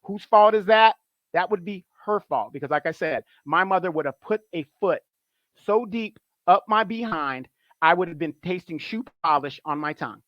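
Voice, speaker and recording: Animated man on a dull, dry line. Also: high-pitched, normal speed, no echo. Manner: animated, repetitive, emphatic. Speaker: guest. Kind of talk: casual opinion talk.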